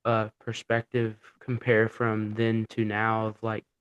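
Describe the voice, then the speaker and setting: A teenage boy hosting a student podcast, introducing a guest and asking halting questions with fillers. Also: lowish voice, monotone, clean tone, dull audio.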